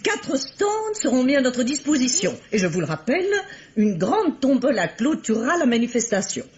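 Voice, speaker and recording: A woman's voice: high-pitched, sing-song, moderate pace, darkish tone. A muffled vintage radio recording carries a vain older grande dame of the stage. She declaims grandly and gushes, her melody rising and falling dramatically as she presides over everyone. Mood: haughty, officious. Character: vain, theatrical ageing ex-actress presiding over charity circle. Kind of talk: French radio mystery drama acting.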